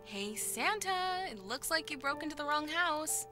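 Teasing video game girlfriend, high voice, very lively pitch, playful, coaxing, impatient. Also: a little slow. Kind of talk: scripted video game acting. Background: loud game music.

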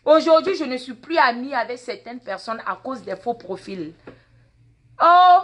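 A woman's voice: high voice, big pitch swings, unhurried. A woman in her forties, a native Mina speaker with a Mina accent, lecturing her followers in an impassioned, repetitive way on a phone held at arm's length in a large indoor waiting room. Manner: impassioned, lecturing, repeats words and questions. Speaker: social media personality. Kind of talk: live phone video monologue.

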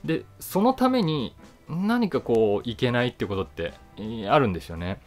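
A man's voice: medium pitch, very animated, normal speed, soft background music. A man in his forties thinking aloud in a casual, reflective monologue to camera, stressing key words and trailing off into asides. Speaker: actor and voice actor hosting his own channel. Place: small indoor room, seated before camera.

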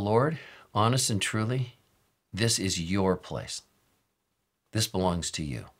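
Male pastor, 60s, American, low and a touch raspy, very animated pitch, earnest and emphatic teaching delivery. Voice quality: a little raspy. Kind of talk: informal sermon teaching. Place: office or study with bookshelves, indoors.

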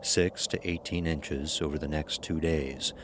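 A man's voice: rumbling low, fairly even intonation, a little slow, background noise. A man giving scripted narration for a horror audio drama.

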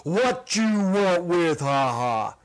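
A man voicing a gloomy, menacing giant cafe owner in a story reading, gruff and blunt. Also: sing-song, slow, heavily acted. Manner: booming, blunt, glowering.

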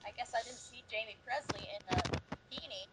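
A woman's voice: a little high, fairly quick. A woman in casual podcast banter, playfully argumentative. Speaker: podcast co-host. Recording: clearly noisy background.

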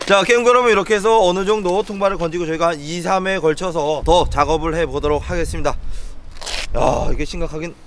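A man's voice: on the high side, fairly quick, a little hiss or hum. A man in his thirties hosting an outdoor vlog on a boat and dock, moving between explaining to viewers and casual chat. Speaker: host of a fishing and sea vlog.

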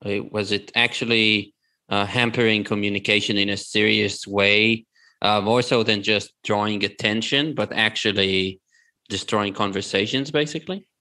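Bright-toned male host asking probing questions. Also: lowish voice, fairly even intonation, moderate pace. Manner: probing, hesitant with uh fillers. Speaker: podcast host. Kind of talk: interview questions.

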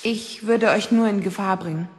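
A woman's voice: medium pitch, normal speed, husky, lightly acted. An actress playing a frightened, guarded young girl on the run in an audio drama. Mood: anxious.

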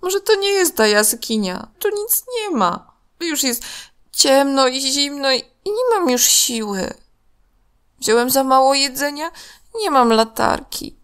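A woman voicing a small, scared, naive boy in a children's story, halting and plaintive. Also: sits high, very animated, a bit bright, pitched above the performer's own voice, exaggerated voice. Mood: tearful, exhausted.

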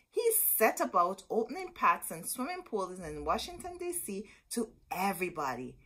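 A middle-aged woman hosting a storytime, reading a picture book aloud expressively with dramatic, deliberate phrasing and asides to her listeners. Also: mid-range voice, bright, forceful tone, breathy edge. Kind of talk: expressive children's read-aloud. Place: small indoor room with bookshelves.

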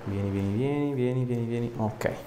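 A man's voice: mid-range voice, brisk. A man, a chiropractor giving a patient informal, reassuring hands-on instructions. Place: small treatment room, indoors. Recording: echoey small room with background noise.

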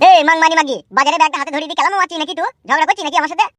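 A man's voice: very high-pitched, very fast, heavy background noise. A man voicing a grumpy, blunt village husband who bickers with his wife in a cartoon comedy. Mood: angry, bickering.

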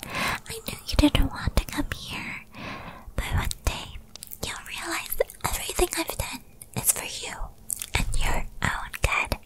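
A woman voicing a possessive, lovestruck young witch girlfriend in an ASMR roleplay, in a breathy, cooing and controlling manner. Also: extremely high pitch, animated, a little slow, fairly bright tone, pitched above the performer's own voice, full character voice, poor audio. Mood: scolding.

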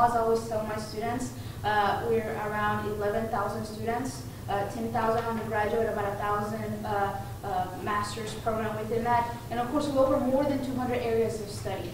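A young woman whose first language is Spanish talks casually through a presentation, pausing on fillers as she goes. Her slightly high voice reaches a distant mic in a very echoey conference room. A steady background hiss sits under her words. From Mexico. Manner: informal, with frequent "uh" and restarts. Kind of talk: conference talk. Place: conference room with projector screen. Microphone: room mic heard from a distance.

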